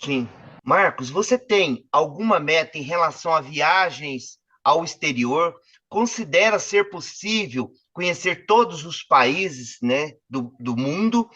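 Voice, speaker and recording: A man's voice: fairly high pitch, lively intonation, a little slow, pushed and bright. A man with a Brazilian accent hosting an interview, putting questions to his guest in a conversational way. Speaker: interviewer, host. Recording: slightly dull, lo-fi sound.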